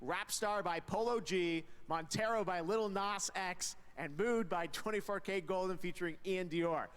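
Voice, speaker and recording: A man's voice: sits high, normal speed, a bit bright, clear, resonant tone. A man hosting a live awards broadcast, reading out announcements in an upbeat presenter style. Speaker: awards show host.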